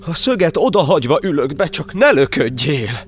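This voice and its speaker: An actor playing an old, suffering cardinal in an audio drama, declaiming a brooding verse monologue in an anguished way. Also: male voice, on the high side, big pitch swings, slight background noise. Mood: childlike, agitated. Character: imprisoned Hungarian cardinal, old, suffering, brooding over his martyrdom.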